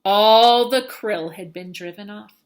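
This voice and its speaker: A woman's voice: mid-range voice, takes it slowly, low-quality recording. A woman teacher reading a children's storybook aloud, expressively and with dramatic emphasis.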